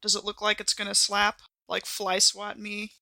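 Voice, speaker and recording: Female tabletop player, forceful bright tone, casual and conversational, close dry sound. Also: medium pitch, completely flat delivery, moderate pace, creaky, dry recording. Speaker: player in a tabletop role-playing game. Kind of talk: casual game-table chat.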